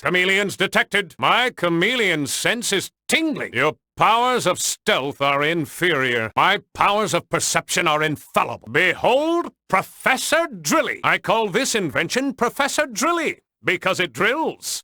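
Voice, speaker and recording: A man voicing a pompous, brainy penguin inventor in an animated video game, theatrical and precise in his enunciation. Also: sits high, no room sound, studio quality, exaggerated voice. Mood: grandly excited.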